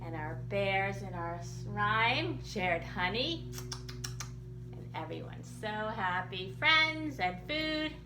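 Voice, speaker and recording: A woman reading aloud as a children's library storyteller, expressive and animated, in simple child-directed language. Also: medium pitch, takes it slowly. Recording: roomy echo with background noise.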